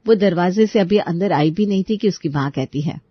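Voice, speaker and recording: A woman's voice: on the low side, fairly quick, no room sound, dull audio. Woman narrating a dramatic story.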